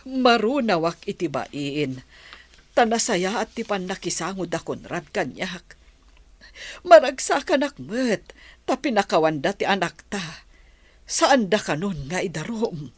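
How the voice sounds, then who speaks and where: A woman's voice: medium pitch, sing-song, a little slow, slightly rough, dry recording. A woman playing a worried, apologetic mother who pleads humbly and hesitantly for her child in a radio drama. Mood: relieved, gentle. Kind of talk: Filipino radio drama acting.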